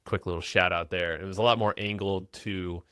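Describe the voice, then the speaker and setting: A man's voice: deep voice, fairly even intonation, fairly quick, fairly bright tone, smooth, no room sound, clean studio sound. A man hosting a podcast interview, upbeat and chatty, often repeating words as he starts sentences. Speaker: podcast host. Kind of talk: casual podcast interview with ad read.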